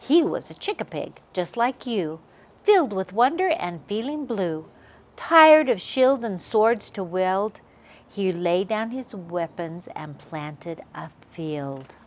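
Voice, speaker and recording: A woman's voice: mid-range voice, big pitch swings, takes it slowly. A woman hosting a children's read-aloud, telling a picture-book story expressively to young viewers.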